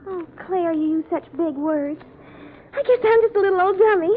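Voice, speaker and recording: A woman's voice: very high voice, normal speed. An actress playing a sweet, dim-witted young woman in a gushing, babyish manner, in old-time radio comedy style. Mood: girlish. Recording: tinny, noisy old radio recording.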